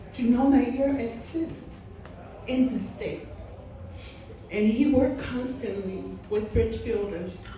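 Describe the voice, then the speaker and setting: Slow, heartfelt woman in a hall. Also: mid-range voice, warm tone, a little hiss or hum. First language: Samoan. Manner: slow, heartfelt, emphatic delivery. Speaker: community leader giving a tribute. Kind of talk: public tribute speech. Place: large echoey hall. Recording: echoey hall from far off.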